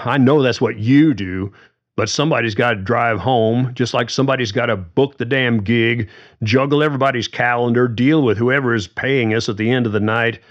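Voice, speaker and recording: A man's voice: mid-range voice, pitched above the performer's own voice, lightly acted. A man voicing a frustrated middle-aged male musician, earnest and exasperated, swearing when pushed. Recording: dull lo-fi recording.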